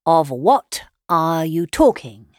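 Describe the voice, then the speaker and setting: A woman reader plays a middle-aged father from a classic novel. He is unruffled and sardonic, speaking in formal, measured phrases with a deadpan edge. The recording is dry and free of room sound. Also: medium pitch, no echo. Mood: unconcerned. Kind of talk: audiobook character voice, classic novel.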